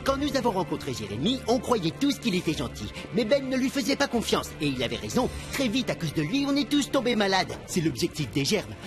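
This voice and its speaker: High-voiced, naive cartoon animal. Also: male voice, wildly swooping pitch, brisk, few pauses. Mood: proud, chatty. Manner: earnest, theatrical storytelling. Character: naive, chatty, eager male cartoon animal. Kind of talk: French animated cartoon dub. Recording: music under the voice.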